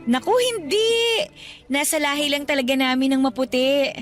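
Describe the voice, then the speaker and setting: An actress playing a modest, slightly nervous young new office hire in a casual, friendly radio drama scene. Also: female voice, sits high, lively intonation, moderate pace, soft background music. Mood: modest, pleased.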